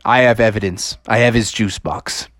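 A woman's voice: rumbling low, fairly even intonation, normal speed, no room sound, studio quality, pitched below the performer's own voice. A woman voicing a breezy, cocky male ghost-hunting doctor with a casual, confident delivery in a children's story. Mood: cool, knowing.

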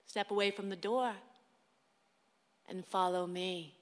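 An actress playing a weary, patient wife in a radio play, wry, coaxing and firm. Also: female voice, medium pitch, fairly even intonation, slow pace, close to an everyday voice. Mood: fond, coaxing. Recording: long hall-like reverb.

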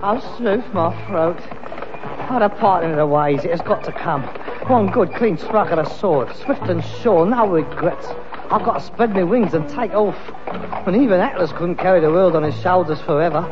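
A man's voice: sits high, normal speed, lightly acted. An actor playing a frustrated grown son, working the rag-and-bone trade and ranting at his father in a 1960s radio sitcom. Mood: brooding. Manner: indignant, theatrical ranting. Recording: dull 1960s radio broadcast with hiss and a roomy reverb.

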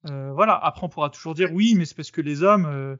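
A man on his own podcast talks through a debate in a loose, casual way. He hesitates a lot and restarts his sentences. His voice sits a little high and sounds dry and dull. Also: quick pace, a bit bright, dry recording. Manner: hesitant, many fillers and restarts. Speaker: podcast host.

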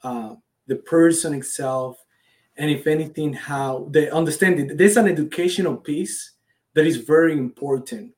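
A man's voice: fairly high pitch, normal speed, darkish tone. A man from Cuba with a Cuban accent, a native Spanish speaker, answering interview questions with earnest enthusiasm. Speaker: guest, startup founder.

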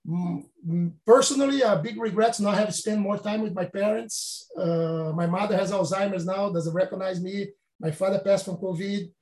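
A Brazilian-born professor in his late fifties whose first language is Portuguese, answering interview questions in halting, non-native phrasing with frequent restarts. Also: sits high, reverberant, rough recording. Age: 50s.

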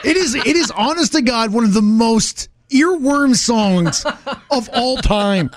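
High male voice, American accent, theatrical radio banter, swooping intonation, close dry mic. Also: expressive, unhurried, no room sound. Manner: theatrical, mock-indignant, exaggerating. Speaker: radio morning show co-host. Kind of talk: lively casual banter. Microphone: close studio microphone.

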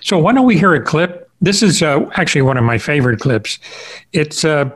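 A man's voice: fairly high pitch, lively intonation, a little fast. A man hosting a podcast, interviewing a guest in a casual, conversational style.

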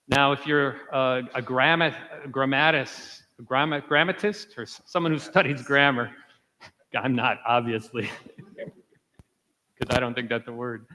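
A man preaching a teaching homily at Mass, explaining slowly and correcting himself as he goes. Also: fairly high pitch, pushed and bright, big-room sound, rough recording. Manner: slow, explanatory, self-correcting with light laughs. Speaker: priest. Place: church.

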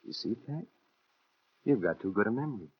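An actor with an American accent playing a hard, rough killer in 1940s radio thriller style, with a husky voice. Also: male voice, fairly low pitch, animated, a little fast, no echo. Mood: cold, menacing. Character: cold-blooded male killer, hard and rough, courting a widow. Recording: dull vintage 1940s radio recording.

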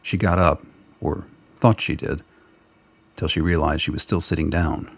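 A man narrating a radio drama in a steady, deliberate storytelling manner. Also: rumbling low, creaky, husky. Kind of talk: scripted narration.

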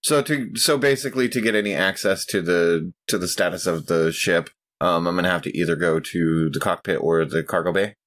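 A man with a deep voice takes part in a tabletop role-playing game. He is hesitant and methodical, stopping to think as he puts questions about his options. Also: fairly quick, hardly any pauses, a bit bright. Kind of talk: casual tabletop game play.

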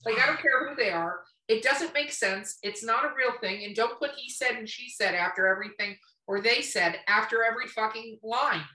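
A woman's voice: a little high, normal speed, hardly any pauses, pushed and bright, far from the mic. A woman podcast host chatting casually on an online call, blunt and opinionated, swearing freely. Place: room, online video call. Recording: echoey room, away from the mic.

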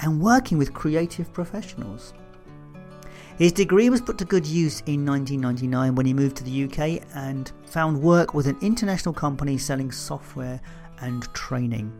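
A man hosting a podcast, reading out a guest introduction in a measured, emphatic way. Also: a little high, creaky, darkish tone. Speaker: podcast host. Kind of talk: scripted intro then interview.

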